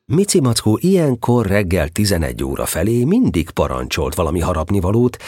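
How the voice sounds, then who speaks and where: A man narrating a children's storybook tale in an audio drama, with expressive scripted delivery. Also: low-pitched. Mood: storytelling.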